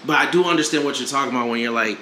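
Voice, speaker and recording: A man's voice: medium pitch, rapid-fire, over a noisy crowd. A man co-hosting a podcast, laid-back and slangy, reading listener questions and tossing in short agreeing remarks. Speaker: podcast co-host. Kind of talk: casual advice chat.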